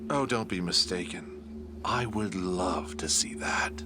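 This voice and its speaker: Low, menacing villain, acted by a man. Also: expressive, very noisy. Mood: cold, scheming. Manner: measured, menacing, formal, condescending. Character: cunning, proud villain, reluctant ally to his nemesis. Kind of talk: audio drama acting.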